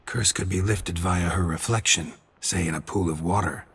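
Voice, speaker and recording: An actor playing a stoic, world-weary monster hunter in a video game, speaking in terse, deadpan lines with a gravelly, husky voice. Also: male voice, deep voice, moderate pace, exaggerated voice. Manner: terse, deadpan, clipped sentences.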